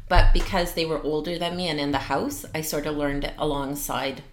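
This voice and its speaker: A woman's voice: low voice, flat delivery, a little fast, reverberant. A middle-aged woman in a casual interview, encouraging and affirming as she listens and shares her own story. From Canada. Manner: warm, encouraging, with frequent affirming murmurs. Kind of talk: casual conversation.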